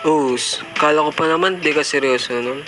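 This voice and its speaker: Fairly high young male voice, Filipino, sneering classmate acted by a man, motion comic dialogue, loud music. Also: normal speed, lightly acted. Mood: scornful. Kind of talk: amateur motion comic drama.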